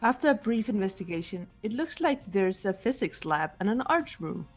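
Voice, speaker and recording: A woman's voice: mid-range voice, sing-song, normal speed, faint music behind. Woman playing a composed, matter-of-fact game girl.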